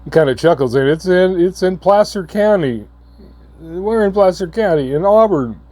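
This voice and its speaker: A hoarse man, coming down with a cold, giving a halting, earnest update to his viewers. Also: sits high, expressive, warm tone, dry recording. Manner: halting, repeats words, slow and earnest. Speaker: channel host. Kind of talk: casual vlog update.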